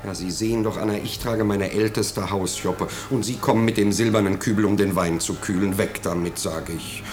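An actor in a 1950s German radio drama playing a pompous, nervous householder who is eager to please, blustering and stammering when uneasy. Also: male voice, on the low side, steady tone, normal speed, darkish tone, soft background music, lightly acted. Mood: fussy, bossy.

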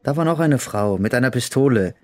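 An actor playing a thuggish, spiteful wounded Nazi tough in a German radio drama, speaking gruffly and contemptuously. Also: male voice, mid-range voice, animated, a little fast, natural voice. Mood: sullen, spiteful.

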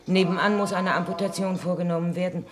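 An actress playing a blunt, unimpressed nurse in a 1960s German-language radio drama, terse and matter-of-fact. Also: female voice, lowish voice, steady tone, quick pace, crowd noise, lightly acted. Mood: brusque. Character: blunt, unimpressed woman, a nurse on a colonized planet. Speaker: actor in a radio play. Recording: slightly noisy 1960s radio production.

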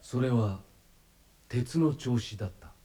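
A man's voice: medium pitch, animated, moderate pace, close to an everyday voice. A native Japanese man performing reflective literary lines in a scripted radio drama. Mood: contemplative. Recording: clearly noisy background.

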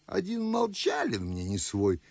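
An actor playing a fussy, fawning elderly Moscow gentleman with a gravelly, husky voice in a classic radio play. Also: on the low side, huge pitch swings, unhurried, no room sound, exaggerated voice. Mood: flattering. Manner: fawning, fussy, chuckling host.

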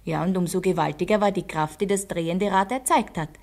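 An actress playing a brisk, didactic schoolteacher who quizzes her class in a radio drama. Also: on the low side, darkish tone, natural voice. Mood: instructive. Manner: didactic, leading questions, firm.

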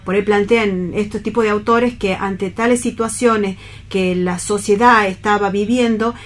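A woman with an Argentine accent talking earnestly and haltingly in a reflective radio discussion. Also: medium pitch, steady tone. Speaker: panelist on a radio theatre programme.

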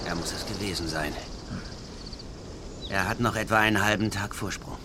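An actor playing a loyal, troubled young scout who speaks tersely and hesitantly in a German radio play. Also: male voice, deep voice, normal speed, background noise, lightly acted. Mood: alert.

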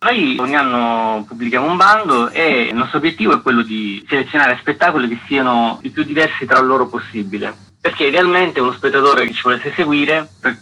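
A man's voice: mid-range voice, sharp tone, low-quality recording. A man speaking as a festival director, explaining things as a guest in a radio interview.

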